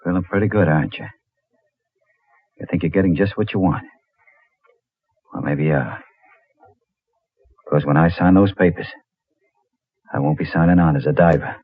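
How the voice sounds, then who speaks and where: An actor playing a brooding, haunted diver in old-time radio drama style, with halting, melodramatic delivery. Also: male voice, sits low, no echo. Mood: bitter. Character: haunted, tough deep-sea diver, brooding and fearful. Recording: muffled, noisy old radio recording.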